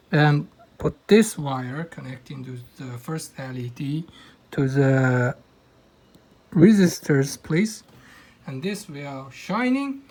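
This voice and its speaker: A man's voice: fairly high pitch, darkish tone. A young man whose first language is Kazakh, speaking plain non-native English with frequent hesitations while demonstrating electronics. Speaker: hobbyist electronics presenter. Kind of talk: hands-on electronics demo.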